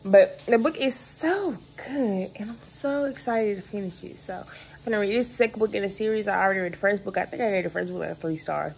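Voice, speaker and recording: A young woman, vlogging casually from her bedroom into a phone at arm's length, rambling and relaxed, calling her viewers y'all. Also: mid-range voice, a little fast, creaky, a little hiss or hum, poor audio. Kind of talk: casual day-in-my-life vlog. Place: bedroom at home, indoors; later in a car in heavy rain. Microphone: phone camera held close at arm's length.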